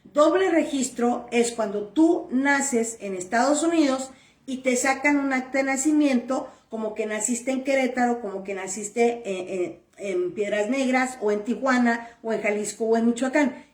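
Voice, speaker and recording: A woman's voice: a little high, moderate pace, a little mellow, distant mic. A woman giving blunt immigration advice straight to her viewers, answering their questions in a firm, repetitive way. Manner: firm, blunt and repetitive, stressing points plainly. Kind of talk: direct-to-camera advice video. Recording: roomy echo.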